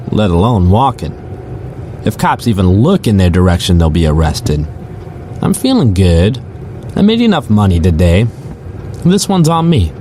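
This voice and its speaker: A man's voice: on the low side, darkish tone, slight background noise. A man playing a chatty, sardonic rideshare driver, telling his story in the first person for an audio drama. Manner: casual, sardonic, conversational. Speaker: narrator and lead character.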